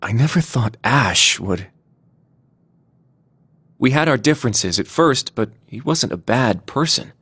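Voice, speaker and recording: An actor playing an earnest, loyal young military student, speaking sincerely and seriously in video game dialogue. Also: male voice, mid-range voice, animated, unhurried, long pauses, a bit bright, husky, no room sound. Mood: regretful, firm.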